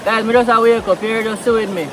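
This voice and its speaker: Jamaican man with a high voice, rushing river behind. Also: background noise. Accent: Jamaican Patois. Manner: relaxed, chatty, mixing Patois into English. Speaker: vlogger. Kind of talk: casual vlog talk outdoors. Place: outdoors by a river.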